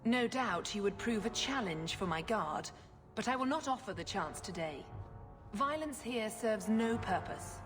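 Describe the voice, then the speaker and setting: An actress playing a zealous, scheming religious sister in a video game, poised, formal and condescending. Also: female voice, medium pitch, animated, a little slow, close to an everyday voice. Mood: dismissive. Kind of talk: video game cutscene acting. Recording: long hall reverb, music behind.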